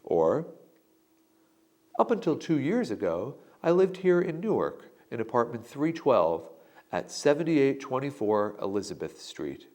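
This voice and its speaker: Man, instructor, scripted narration, animated pitch, deliberate delivery. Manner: slow, deliberate model answers. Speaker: instructor giving sample answers.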